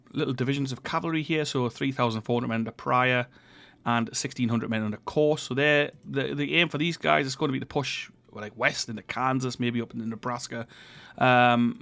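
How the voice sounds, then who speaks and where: Croaky man with a head cold. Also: mid-range voice. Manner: relaxed, thinking aloud with fillers while reviewing the map. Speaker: gaming channel host. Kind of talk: casual strategy game commentary.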